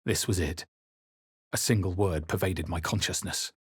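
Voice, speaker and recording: A man playing a wary, grave fantasy adventurer in a video game, with deliberate, theatrical delivery. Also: low-pitched, husky. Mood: grave, dramatic. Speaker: video game player-character voice actor. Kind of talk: dramatic scripted game narration and voice lines.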